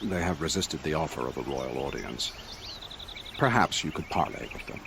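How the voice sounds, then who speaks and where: A man playing a dignified royal advisor in a video game, formal and measured with dry wit and a gravelly voice. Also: extremely low pitch, lively intonation, noisy background, heavily acted. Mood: courtly, wry. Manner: formal, measured, dryly wry. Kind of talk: video game cutscene acting.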